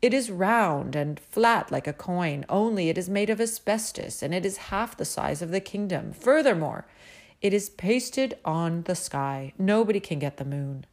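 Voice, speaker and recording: A woman voicing a pompous, pedantic old royal mathematician, speaking slowly and precisely in a storybook reading. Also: on the low side, lively intonation. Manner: pompous, slow and precise, reciting lists.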